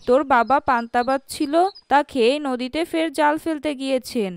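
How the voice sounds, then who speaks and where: A woman plays a patient village mother in a cartoon, gently chiding her children. The voice is high, smooth and clean, recorded dry. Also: brisk, no room sound. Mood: calm. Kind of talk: cartoon dubbing.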